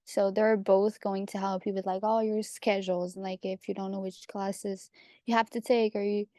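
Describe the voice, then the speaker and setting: Mellow young Québécoise woman, even-toned. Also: medium pitch, normal speed, dry recording, clean studio sound. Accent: Quebec French. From Quebec, Canada. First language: French. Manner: chatty, relaxed, lots of "like". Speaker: pharmacy student panelist. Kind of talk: casual panel talk.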